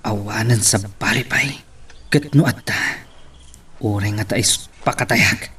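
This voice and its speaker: A man's voice: lowish voice, a little slow, sharp tone, breathy edge, exaggerated voice. A man with a Filipino Ilocano accent acting an evasive, brooding husband in a radio-style romance drama, curt and irritable. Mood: brooding, possessive. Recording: distant mic with background noise.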